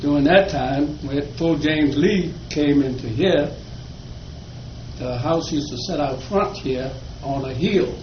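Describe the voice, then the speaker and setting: A man's voice: on the high side, measured pace. An older man from Virginia, an interviewee telling folksy stories of his childhood with a chuckle. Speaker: oral history interviewee. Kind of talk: slow reminiscing storytelling. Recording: echoey room, dull lo-fi, faint noise.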